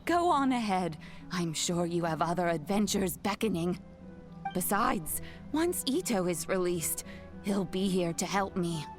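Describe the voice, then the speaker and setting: An actress playing a kindly old granny in a game, speaking in a gentle, measured, motherly way. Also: lowish voice, lively intonation, music in the background. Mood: proud. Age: older.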